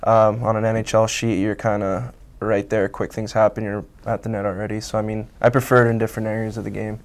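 Here is a young Canadian man in his twenties, answering questions in a relaxed way in a small local TV studio. His voice is smooth and clear but stays flat and level, with frequent "um"s and "I mean"s, picked up by a lapel mic. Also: lowish voice, fast. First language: Italian. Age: 20s. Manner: relaxed, many fillers like um and I mean. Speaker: college hockey player guest. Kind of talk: casual interview answers.

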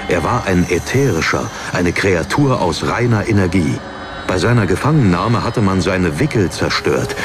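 A man's voice: low-pitched, a little hiss or hum. A man reading a fantasy story as a scripted, dramatic audiobook narration. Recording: narration over background music.

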